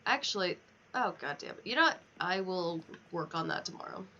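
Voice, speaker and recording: A woman's voice: fairly low pitch, lively intonation, brisk, pushed and bright. A woman livestreamer chatting casually as she plays a video game, thinking aloud and muttering to herself. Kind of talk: casual chat while playing a video game. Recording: dull lo-fi mic, clear background noise.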